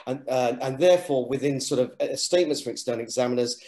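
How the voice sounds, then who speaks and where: A man's voice: mid-range voice, warm tone. Man presenting slides in an echoey room. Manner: halting, with many fillers and restarts. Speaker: architecture lecturer presenting an open day.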